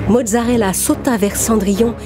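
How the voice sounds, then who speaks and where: A woman reading scripted narration for an animated children's story. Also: a little high, moderate pace. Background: music.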